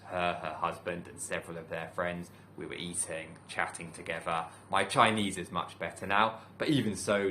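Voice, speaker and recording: A man from the UK, a teacher, giving a calm, thoughtful talk to camera in a measured way with many pauses. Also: low voice, a little hiss or hum. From United Kingdom. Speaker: teacher and channel host. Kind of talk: reflective solo talk to camera.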